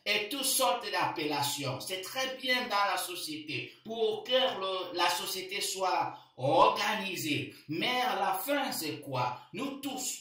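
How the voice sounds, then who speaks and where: A man delivers a homily at a slow, deliberate pace, repeating key phrases for weight. His voice is bright and carries into a very echoey church. He sounds set back from the microphone. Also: sits high, far from the mic. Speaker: priest. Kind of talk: sermon.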